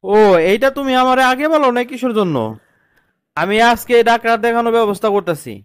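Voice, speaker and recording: A man acts out an exasperated young cartoon husband with a Bangladeshi accent. His voice is high and quick, always protesting his lot, recorded close and dry. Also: a bit bright, smooth, no echo. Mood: concerned. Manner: protesting, complaining. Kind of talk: comedy cartoon dialogue.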